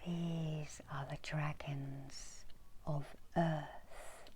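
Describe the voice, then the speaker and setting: Middle aged lady whispering a guided meditation, low and breathy, soothing, bit of background noise. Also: level tone. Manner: slow, soothing, hushed, with long pauses. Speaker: meditation guide and Reiki healer. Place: small indoor room.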